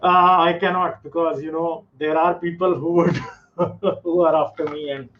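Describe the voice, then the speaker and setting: A man's voice: fairly high pitch, steady tone, normal speed, a little hiss or hum. A man from Pakistan, calling in and explaining his views earnestly, with many fillers. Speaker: caller. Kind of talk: call-in discussion. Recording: muffled lo-fi call audio.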